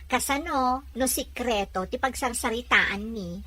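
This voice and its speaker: High-voiced young Ilocano actress as a headstrong daughter. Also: female voice, measured pace, sharp tone, a little hiss or hum. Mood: petulant. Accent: Filipino, Ilocano. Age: young adult. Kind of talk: Ilocano radio-style drama acting.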